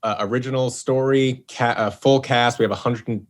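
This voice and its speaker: A man's voice: mid-range voice, fairly even intonation, brisk. An American man from Seattle, talking casually as an interview guest. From Seattle, USA. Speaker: guest, voice actor and audio series producer. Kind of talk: casual chat.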